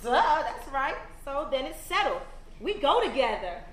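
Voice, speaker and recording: A woman's voice: high voice, big pitch swings. An actress playing a confident, playful teenage girl who teases her boyfriend, in a stage play. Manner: teasing, bossy, quick comebacks. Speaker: stage actor. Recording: echoey stage, faint room noise.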